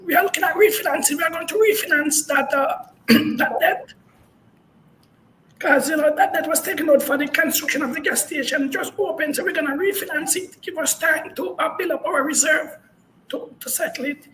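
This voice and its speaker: A woman with a very high voice answers as a finance executive. She is on a live earnings call, with faint noise on her remote line. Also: moderate pace, fairly bright tone, poor audio.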